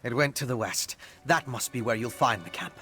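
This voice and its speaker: An erudite, excitable gentleman scholar in a fantasy game. His delivery swings widely in pitch, theatrical and cheerfully condescending. Music plays behind him. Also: brisk. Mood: intrigued, pleased. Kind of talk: video game character voice acting.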